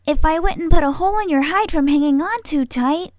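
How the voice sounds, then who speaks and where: Woman voicing a very high, anxious little girl creature. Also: a little fast, a little mellow, no room sound, studio quality, lightly acted. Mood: nervous. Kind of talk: fan audio drama acting.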